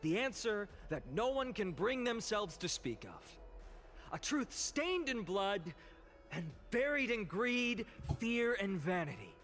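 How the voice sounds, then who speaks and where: A man's voice: sits high, very animated, slow, background noise, heavily acted. A man playing an impassioned young orator in a video game, addressing an assembly with emphatic, eloquent, theatrical rhetoric. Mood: impassioned, accusing. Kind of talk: dramatic theatrical game voice acting, public oration.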